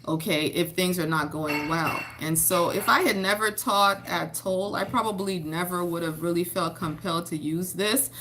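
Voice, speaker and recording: A woman in her forties with a bright, fairly low voice chats loosely about her homeschooling day, often restarting and repeating words. She grew up in Haiti, and French was her first language. A faint hum of background noise sits under her talk. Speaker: homeschooling mother and vlogger. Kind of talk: casual chat to camera.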